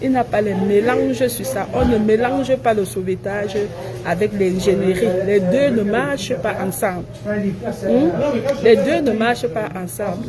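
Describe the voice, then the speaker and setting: A woman speaks over very loud crowd-like chatter, at a middle pitch. She delivers a casual live-stream monologue in an emphatic, declamatory way, often repeating phrases for weight. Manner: self-assured, declamatory.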